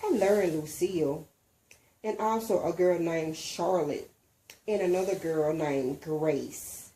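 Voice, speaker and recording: Female storybook reader, unhurried and expressive, with a soft mellow tone. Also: on the low side, slight background noise, rough recording. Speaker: reader, mother. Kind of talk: expressive storybook reading aloud.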